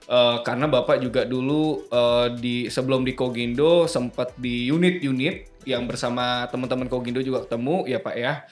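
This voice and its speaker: A man's voice: mid-range voice, fairly even intonation, moderate pace, few pauses. A man hosting a casual company podcast, teasing his guest and laughing often. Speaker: podcast host. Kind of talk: casual corporate podcast interview. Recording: roomy studio with faint music.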